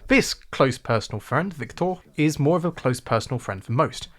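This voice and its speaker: A man's voice: medium pitch, moderate pace. English RP man, wry video essay. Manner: wry, sarcastic, animated delivery. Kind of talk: scripted video essay narration.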